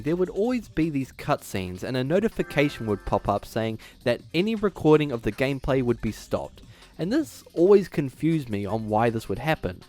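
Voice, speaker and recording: Lively man doing video commentary, music behind. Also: medium pitch. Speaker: YouTube video essayist, host. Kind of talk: scripted commentary on video game movies.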